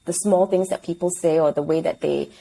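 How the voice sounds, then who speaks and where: A woman's voice: deep voice, fairly even intonation, brisk, creaky, warm tone. A woman in her thirties from Singapore, a podcast guest speaking in a reflective, hesitant way that often corrects itself. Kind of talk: casual interview.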